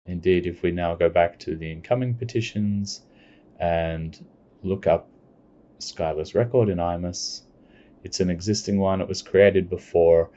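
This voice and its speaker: A man giving a plain, step-by-step screencast walkthrough of software. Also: low voice, fairly even intonation. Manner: plain step-by-step explaining, unhurried. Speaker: software demonstrator. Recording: dull lo-fi recording.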